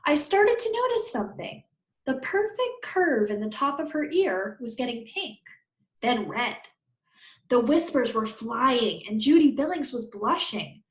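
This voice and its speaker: A woman's voice: on the high side, sing-song, unhurried. A woman reading a children's story aloud expressively, like a teacher to her pupils. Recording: roomy, echoey sound.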